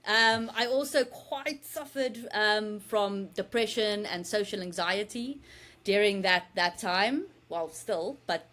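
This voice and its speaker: A woman's voice: mid-range voice, slow pace, a bit bright. Candid South African woman telling her story. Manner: candid, self-deprecating, chatty with fillers. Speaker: marine scientist guest. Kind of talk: casual interview storytelling.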